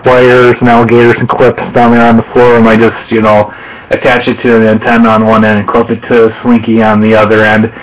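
A man narrating a casual handheld show-and-tell in a halting, rambling way, correcting himself as he goes. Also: medium pitch, slight background noise, rough recording. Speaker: hobbyist video host. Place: small room at home, indoors. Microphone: handheld camera microphone.

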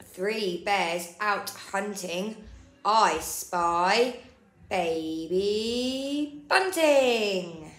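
Woman, nursery storyteller, very slow sing-song picture-book reading, recorded in an echoey room. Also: medium pitch, expressive, a bit bright. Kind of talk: picture-book read-aloud for young children. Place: indoor room. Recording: roomy indoor echo.

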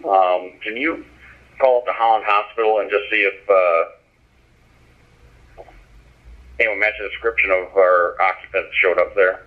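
Thin narrow-band police radio, man, lowish voice, officer calling dispatch. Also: steady tone, a little slow, long pauses. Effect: police radio through scanner speaker. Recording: narrow tinny police radio from scanner speaker.

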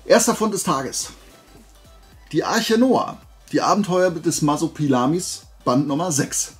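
A man's voice: fairly high pitch, expressive, measured pace, soft background music. A man chatting casually and colloquially as he hosts a show-and-tell haul video, with an enthusiastic collector's manner. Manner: conversational, colloquial, enthusiastic collector's chat with self-posed questions. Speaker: host of a flea market haul video.

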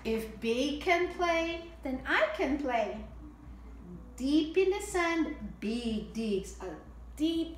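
High-pitched woman reading slowly to young children. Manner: expressive, explains simply to young children. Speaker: early childhood story time host. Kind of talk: children's picture book read-aloud. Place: indoor home room with table. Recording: echoey room with audible background noise.

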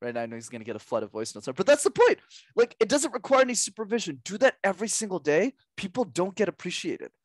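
Lively young man on a headset mic. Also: sits high, fast talker, dry recording. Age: young adult. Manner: animated, gestures a lot, drives points home with repetition. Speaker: podcast guest, communication coach. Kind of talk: energetic coaching talk. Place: small room at home.

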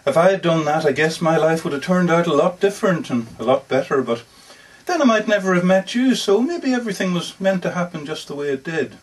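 A man's voice: fairly high pitch, animated, a little fast, breathy edge. A man reading aloud as an earnest, lovestruck working-class man, folksy and plainspoken, in a dramatic story reading. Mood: tender. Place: bookshop book launch. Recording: distant mic at a live reading.

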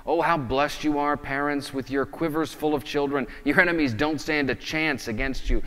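A man's voice: a little high, steady tone, normal speed, fairly bright tone, big-room sound. A man in his thirties preaching a sermon in a conversational way, explaining with gestures, recorded on a lapel mic indoors. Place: indoors in front of a wooden plank wall.

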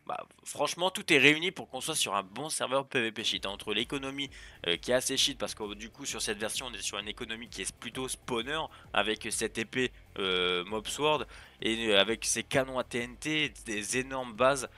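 Male server owner, casual showcase voice-over, bright tone, rambling with fillers. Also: medium pitch, normal speed. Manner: chatty, hesitant with many fillers and restarts. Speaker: server owner presenting a game server. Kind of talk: casual gameplay showcase narration.

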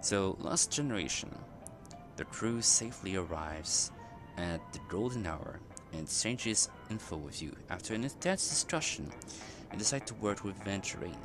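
A man's voice: on the low side, expressive, moderate pace. A man who streams games, giving casual live commentary and reading the on-screen text aloud. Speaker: game streamer. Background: loud game music.

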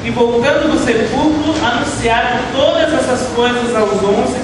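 A young Brazilian woman with a Brazilian Portuguese accent, leading a church service at a pulpit and reading scripture in an earnest, measured way. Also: on the high side, over a noisy crowd. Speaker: worship leader. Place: church hall, indoors. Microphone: stand mic at a pulpit, filmed from a far camera. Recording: echoey hall from far off, background hiss.

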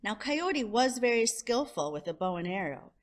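A woman's voice: a little high, very animated, moderate pace. A woman storyteller reading a children's picture book aloud expressively, drawing out descriptive words. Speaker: storyteller, show host.

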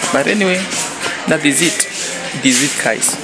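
A man's voice: a little high, normal speed, over loud music, rough recording. A young man from Namibia in his twenties, chatting casually into a handheld phone camera while walking through a shopping mall and car park. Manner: chatty, repeats "like" and "guys", chuckles often. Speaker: vlogger interviewing people. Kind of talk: casual street-interview vlog. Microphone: handheld phone camera at arm's length.

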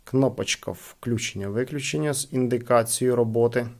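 A man giving a casual, hands-on product review, speaking haltingly as he thinks aloud. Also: mid-range voice, darkish tone. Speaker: gadget unboxing reviewer.

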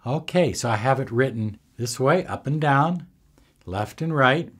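Male coach, 60s. Unhurried, lively intonation, emphatic short phrases, instructional delivery. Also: mid-range voice. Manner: deliberate, emphatic, pausing between short phrases. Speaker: self-help coach. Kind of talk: instructional talk to camera. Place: small indoor room with bookshelves.

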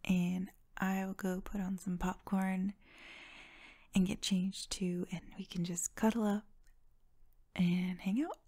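A young woman playing an affectionate, playful friend in an ASMR roleplay, speaking softly and breathily, often in a whisper, with gentle reassurance. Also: mid-range voice, flat delivery. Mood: warm, affectionate. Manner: gentle, reassuring, giggly, halting.

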